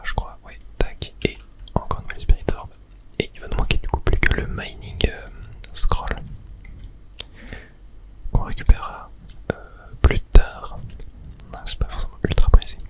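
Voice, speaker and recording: A person whispering casual ASMR game commentary, chatting and thinking aloud in a soft, breathy, unvoiced whisper. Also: very high voice. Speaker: gaming video host.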